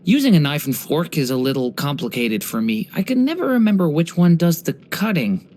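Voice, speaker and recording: An actor voicing an earnest, curious young boy dragon in human form for a video game, speaking politely and softly with some hesitance. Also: mid-range voice, sing-song, fairly quick, close to an everyday voice. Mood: polite, sheepish. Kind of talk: scripted game character voice lines.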